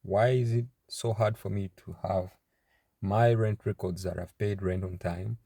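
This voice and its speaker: A young man from Uganda talks about himself and his startup, pausing often and restarting his sentences. His low voice has a gravelly fry and a dark, mellow tone. The sound is close and dry. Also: fairly even intonation, no room sound. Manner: halting, many fillers and restarts. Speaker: startup founder. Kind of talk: casual personal introduction to camera.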